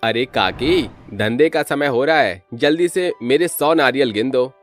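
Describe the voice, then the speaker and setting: A man's voice: medium pitch, lively intonation, unhurried, faint music behind, lightly acted. A man voicing a chatty, impatient cart vendor in a story, with cajoling, familiar street talk.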